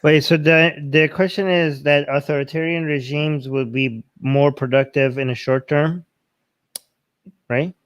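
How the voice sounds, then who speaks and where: A middle-aged man co-hosting a live Q&A chat, playful and self-mocking, halting with fillers. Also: on the high side, steady tone, dry recording, dull audio. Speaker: co-host.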